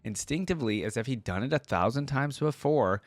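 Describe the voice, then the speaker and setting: A man's voice: medium pitch, expressive, fairly quick, dry recording. A man hosting a reaction video, switching between reading aloud and casual conversational commentary. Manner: conversational asides between read-out passages. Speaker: YouTube host reacting to game lore.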